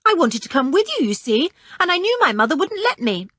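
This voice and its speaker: A woman reading a panicky, frightened young boy in an audiobook, with shrill, breathless pleading. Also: very animated, normal speed, a bit bright, no room sound, clean studio sound. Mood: defensive.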